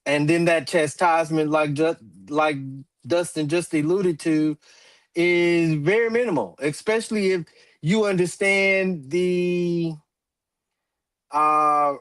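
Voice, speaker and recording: Slow-talking man in his forties, dry studio sound. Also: on the high side, no room sound. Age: 40s. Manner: measured, many fillers and restarts, clears throat. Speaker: talk show host. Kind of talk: roundtable discussion.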